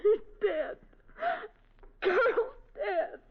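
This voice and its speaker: An actress playing a distraught, strained wife in a 1950s radio drama. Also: female voice, very high voice, extremely slow, heavily acted. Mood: tearful, stunned. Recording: tinny, noisy old radio recording.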